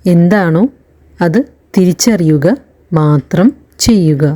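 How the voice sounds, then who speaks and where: Slow, mellow woman guiding relaxation. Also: lowish voice. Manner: calm, soothing, unhurried with long pauses. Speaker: guided meditation instructor. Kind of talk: slow guided relaxation narration.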